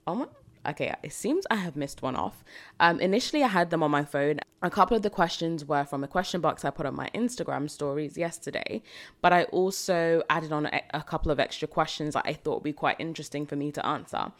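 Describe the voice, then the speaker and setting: A young woman in her twenties talks through a solo podcast Q&A in a loose, reflective way, wandering into asides and laughing lightly. Her voice sits low for a woman. She grew up in Britain after moving from Jamaica as a child. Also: brisk. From Jamaica, raised in the UK from age seven. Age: 20s. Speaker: podcast host. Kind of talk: solo podcast Q&A, casual chat.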